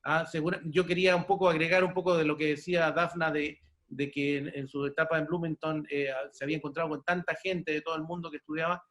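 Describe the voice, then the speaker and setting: A man with a Chilean accent hosting an unscripted interview in a wordy, halting way, repeating words as he searches. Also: on the high side, flat delivery, a bit bright. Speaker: host and interviewer.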